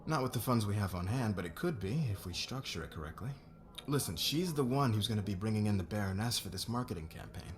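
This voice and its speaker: A man's voice: lowish voice, expressive, fast talker, lightly acted. A man playing a demanding, driven fashion boss in an ASMR roleplay audio drama, with a commanding, persuasive manner. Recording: clear background ambience noise.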